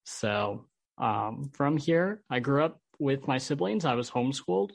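Gravelly American man, mid-pitched. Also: animated, a little slow, dry recording. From Holland, United States. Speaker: podcast guest. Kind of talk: personal storytelling in an interview.

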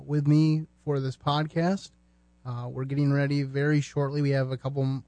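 Male podcast host, mellow, dry close sound, earnest, measured phrasing. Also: mid-range voice, dry recording. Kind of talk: earnest conversational hosting.

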